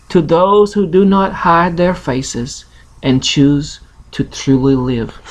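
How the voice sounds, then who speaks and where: Russian-accented man reading slowly, with swooping intonation. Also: sits high, a little hiss or hum, poor audio. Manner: non-native grammar, halting, playful delivery. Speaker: host reading a picture book aloud. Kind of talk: storybook reading and casual chat to camera.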